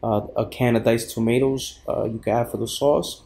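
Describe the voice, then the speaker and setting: A man's voice: mid-range voice, steady tone, normal speed, mellow. A man giving an informal how-to cooking voice-over as a fitness vlogger, addressing viewers casually.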